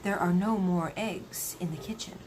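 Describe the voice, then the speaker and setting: A woman's voice: on the low side, moderate pace, a little hiss or hum, lightly acted, rough recording. Woman as a whispering, deferential royal butler. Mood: apologetic. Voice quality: whispery, hushed.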